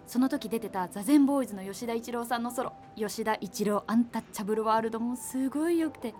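An actress playing a polite, reserved, slightly hesitant young music fan in a radio drama. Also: female voice, fairly high pitch, fast, a little mellow, close to an everyday voice. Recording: music behind the voice.

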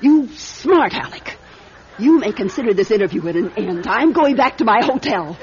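A woman acts a grand middle-aged film star, proud and quick to take offence. Her clipped speech swings widely in pitch. It is radio drama acting on a slightly dull, faintly noisy recording. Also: lively intonation, darkish tone, natural voice. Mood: indignant. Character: haughty, easily offended ageing film actress.

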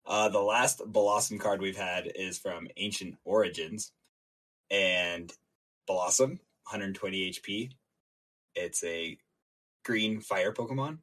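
A man's voice: deep voice, takes it slowly, a bit bright. A man co-hosting a casual podcast, joking and reading out details with lots of fillers. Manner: jokey, reads out stats with many fillers. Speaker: podcast co-host and intern.

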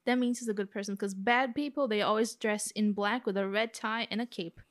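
A woman's voice: mid-range voice, fairly even intonation, fairly quick, no echo, studio quality. A young woman with a Brazilian accent co-hosting a true-crime podcast, chatting casually with sarcastic asides. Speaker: podcast co-host.

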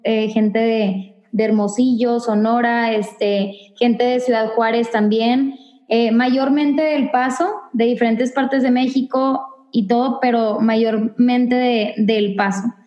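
A woman's voice: on the high side, fairly even intonation, slow, a little mellow, muffled recording. Woman in an echoey room. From Monterrey, Mexico. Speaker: youth ministry leader. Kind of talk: casual interview answer. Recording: roomy echo.

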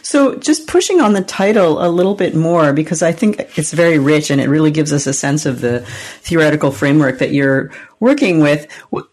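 A woman hosting an interview program, reading a book introduction and then asking measured questions. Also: sits low, expressive, a little mellow. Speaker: interview host.

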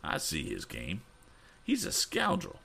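A man voicing an excitable, fussy older gentleman who exclaims in alarm, reading a storybook aloud. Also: a little high, moderate pace, gravelly, pitched above the performer's own voice, full character voice. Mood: indignant. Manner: exclaiming, flustered.

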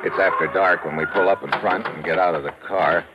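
Actor as a hard-boiled American agent, noisy old radio. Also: male voice, deep voice, steady tone, brisk, dull audio, natural voice. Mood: wry narration. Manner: clipped, wry, slangy hard-boiled delivery. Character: tough American male secret agent, wry hard-boiled investigator. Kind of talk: 1950s radio detective drama acting and narration.

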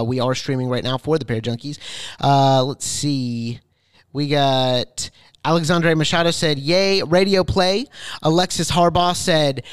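A man's voice: a little high, smooth, dry recording. A man co-hosting a podcast, chatting casually in an informal, slangy way. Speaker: podcast co-host. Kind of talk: casual chat.

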